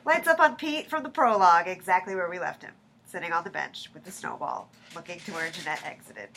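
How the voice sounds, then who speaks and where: A woman's voice: on the low side, expressive, a little fast, a bit bright, slight background noise. A woman reading stage directions aloud as scripted narration at a staged play reading.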